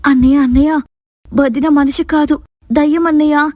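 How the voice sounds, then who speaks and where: A woman's voice: high-pitched, steady tone, moderate pace, a little mellow, no room sound, clean studio sound, long reverb tail, natural voice. A woman playing an anxious, suspicious young sister in a family story, urgent and insistent. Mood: alarmed.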